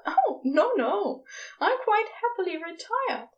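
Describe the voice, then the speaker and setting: Woman doing a high, lilting gentle male angel. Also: big pitch swings, slow, lo-fi sound, full character voice. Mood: cheerful, curious. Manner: polite, softly delighted, lilting.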